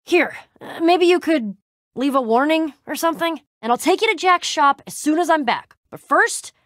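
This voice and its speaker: A woman's voice: high-pitched, expressive, normal speed, fairly bright tone, no echo, studio quality. An actress playing a tough, guarded young woman adventurer in a video game, speaking casually with wry exasperation and hesitant trailing-off.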